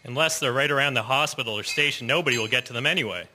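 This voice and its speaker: A man's voice: medium pitch, racing, background noise, natural voice. A man playing a wry, resigned plow worker. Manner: dry, resigned. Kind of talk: radio drama acting.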